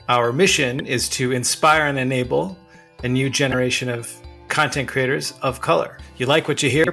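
A man's voice: medium pitch, creaky, bright, forceful tone. A man hosting a podcast interview, upbeat and eager, with frequent fillers. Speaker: podcast host. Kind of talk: casual interview chat.

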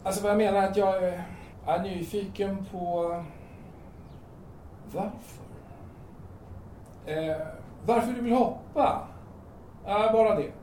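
A man's voice: high-pitched, long pauses, dark tone, lightly acted. A man playing an earnest, nervous passer-by in an audio drama, hesitant and pleading, stammering as he presses on. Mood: nervous, cautious. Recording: roomy, distant mic with noise.

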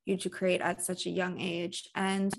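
A woman hosting a conversational interview in an encouraging, measured way. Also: lowish voice, level tone, gravelly. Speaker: interviewer, mental health trainer.

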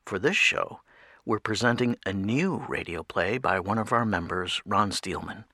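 A man giving a scripted introduction as a radio show's artistic director, in a polished, deliberate presenting manner. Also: on the low side, expressive, bright, forceful tone, breathy edge, no echo, studio quality.

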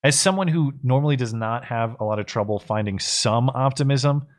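Measured man co-hosting political talk. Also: mid-range voice. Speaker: co-host of a political talk livestream. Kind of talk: casual political commentary, reading viewer messages aloud.

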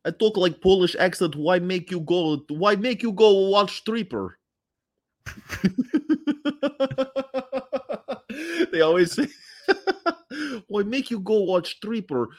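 A man's voice: lively intonation, slow pace, long pauses, exaggerated voice. A man doing a hammy mock-Russian voice. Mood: mock-boastful. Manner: joking, exaggerated high voice.

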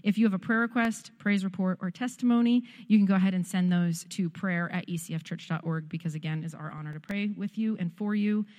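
A woman's voice: lowish voice, a little fast, few pauses. A woman giving casual church announcements from the sanctuary, talking loosely with many ums and uhs. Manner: chatty, warm filler-laden delivery with many ums. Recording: long hall reverb of a church.

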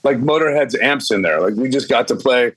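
A man's voice: medium pitch, expressive, a little fast, fairly bright tone, no room sound, low-quality recording. A man, a band singer, telling stories in a laid-back, easygoing way as a guest on a video call. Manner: laid-back, easygoing storyteller, casual swearing. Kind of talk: casual video-call interview.

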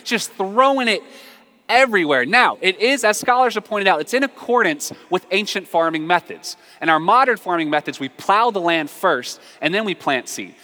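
An American man preaching a conversational, humorous sermon full of storytelling, emphatic and animated, repeating phrases for effect. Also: high-pitched, moderate pace. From southern United States. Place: large reverberant room, live before a congregation. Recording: reverberant hall.

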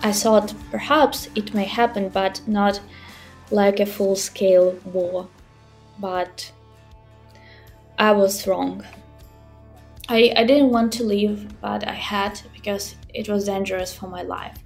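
A young woman from Ukraine, a native Ukrainian speaker, telling her story in English in a halting, careful way with pauses and fillers. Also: mid-range voice, steady tone, faint music behind. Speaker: interviewee, language teacher. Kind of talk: personal account in a podcast interview.

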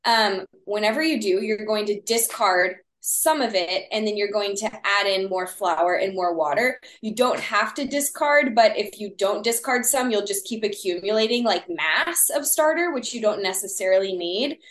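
Fast-talking Texan woman, echoey room. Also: mid-range voice, slight background noise. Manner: fast-talking, explains with many fillers. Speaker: baking guest. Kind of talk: casual podcast chat.

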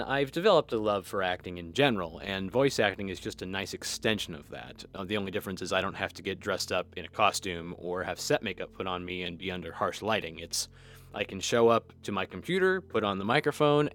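A man's voice: lowish voice, fairly bright tone. A man giving a conversational recorded testimonial as a voice actor and writer.

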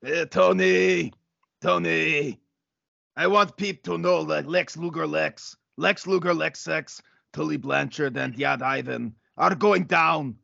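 Gravelly, belligerent Russian wrestler. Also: male voice, a little high, pushed and bright, no echo, highs cut off, full character voice. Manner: halting, repetitive, profane. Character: crude, belligerent Russian champion wrestler, broken English. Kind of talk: comedic audio play acting.

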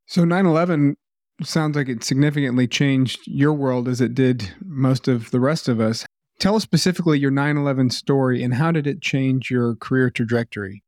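A man's voice: medium pitch, moderate pace, darkish tone, no echo, clean studio sound. Man reading prepared interview questions. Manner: reads background details before asking his question. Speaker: podcast host.

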